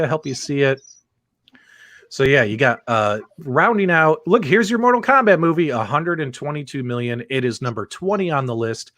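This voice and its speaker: A man's voice: mid-range voice, a bit bright, no echo. A man hosting a live-streamed panel, chatting casually, joking and quizzing the others.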